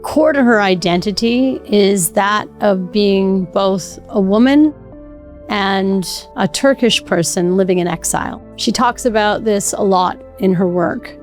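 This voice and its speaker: A woman hosting a podcast reads a scripted introduction at an even pace, speaking admiringly of her guest. Her voice sits mid-range, with soft music playing faintly beneath it. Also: mid-range voice, measured pace. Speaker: podcast host.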